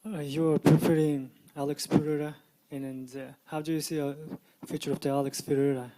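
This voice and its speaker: A man's voice: a little high, flat delivery, fairly quick, dark tone, low-quality recording. A man asking interview questions in non-native English, hesitant and full of "uh" fillers.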